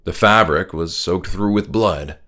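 A man's voice: low voice, unhurried, bright, forceful tone. A man who is an author reading his own horror story as dramatic first-person audiobook narration.

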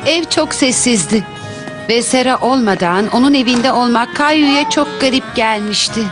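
A high female storytelling voice acted by a woman narrates a children's cartoon gently. It is scripted narration with music underneath. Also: moderate pace, lightly acted. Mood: gentle storytelling.

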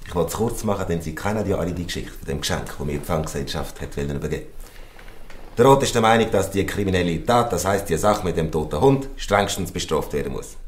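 Deep, pompous Swiss German mayor. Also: male voice, lively intonation, fast talker, echoey room, a little hiss or hum. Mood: stern, official. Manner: pompous, official. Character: stern, self-important town mayor, quick to outrage. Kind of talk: audio drama dialogue in dialect.

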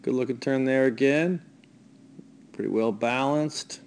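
A man coaching a young ski racer in a voice-over, talking over video in a relaxed, hesitant way with many fillers. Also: mid-range voice, unhurried, creaky, smooth.

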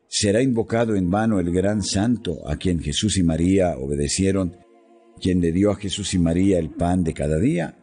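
A man reading a devotional text in a slow, solemn, measured way, pausing between phrases. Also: sits low, breathy edge. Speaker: devotional reader. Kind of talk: slow scripted devotional narration. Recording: soft music underneath.

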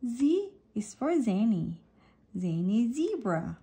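A woman reading an alphabet picture book aloud for children, deliberately setting apart each letter and phrase. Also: on the high side, very animated, warm tone, slightly rough.

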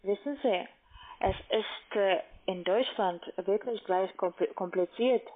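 Russian-accented woman on a tinny phone line. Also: medium pitch. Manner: halting, non-native German phrasing. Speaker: call-centre broker, phone scammer. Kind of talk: unscripted phone argument. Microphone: phone call.

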